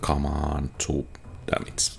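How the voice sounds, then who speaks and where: Very deep, gravelly, slow-talking man. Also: monotone, noisy background. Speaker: gaming video host. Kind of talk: casual gameplay commentary.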